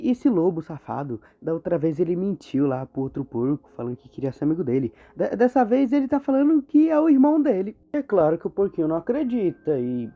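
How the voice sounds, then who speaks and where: A man with a Brazilian Portuguese accent narrating an informal, slangy storytelling recap as a video narrator. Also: a little high. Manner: colloquial, slangy. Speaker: video narrator explaining horror series. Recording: muffled phone-like audio, music behind. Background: background music.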